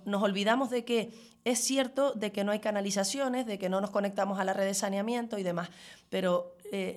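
A woman's voice: medium pitch, darkish tone. A woman answering in an interview as a councillor, emphatically listing her points phrase by phrase. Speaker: town councillor.

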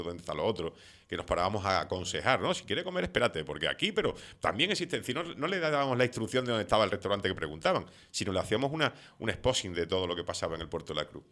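Middle-aged guy hosting an interview, really low voice, talks fast. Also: fairly bright tone. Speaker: host interviewer. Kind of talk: conversational interview with long opinionated questions.

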